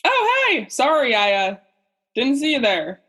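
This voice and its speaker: A woman's voice: high voice, wildly swooping pitch, a little slow, pushed and bright, heavily acted. A woman playing an anxious, self-doubting, depressed male character in a radio play. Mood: awkward, anxious. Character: depressed, self-loathing male oceanographer, also as a boy.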